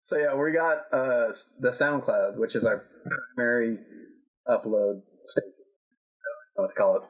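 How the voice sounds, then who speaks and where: A young man co-hosting a casual podcast, relaxed and teasing, sorting out upload plans. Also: medium pitch, normal speed, soft background music, low-quality recording. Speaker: podcast co-host. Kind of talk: casual chat.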